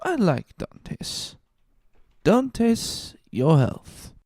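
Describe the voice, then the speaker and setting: A tipsy, good-natured drinker from a classic storybook. His voice rides high and lurches up and down. The words drag out in a slurred, boastful ramble. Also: wildly swooping pitch, drawn-out words, darkish tone, dry recording, clean studio sound, exaggerated voice. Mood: tipsy, fond. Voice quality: drunken drawl, pitched up.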